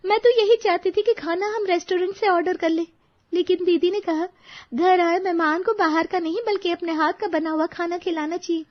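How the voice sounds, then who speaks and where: Very high-pitched grateful young mother played by a woman. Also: fairly even intonation, a little fast, dull audio. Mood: warm praise. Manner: effusive, admiring. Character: grateful young mother, employer praising her cook. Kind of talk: Hindi animated moral story voice acting.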